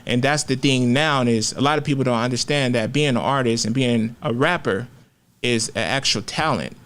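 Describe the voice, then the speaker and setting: A man's voice: mid-range voice, normal speed, fairly bright tone. A man, talking candidly and with plenty of profanity and slang as a rapper guest in a casual interview. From Oakland, California.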